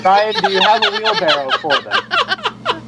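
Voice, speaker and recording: A man's voice: very high voice, huge pitch swings, measured pace, very noisy. A man co-hosting a casual live show, telling stories bluntly and with lots of swearing.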